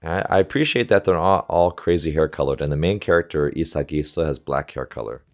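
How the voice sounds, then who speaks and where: A man's voice: very deep voice, a little fast. A man hosting a reaction video, thinking aloud in casual commentary into a close desktop microphone. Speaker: reaction video host, volleyball coach. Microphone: close desktop USB microphone.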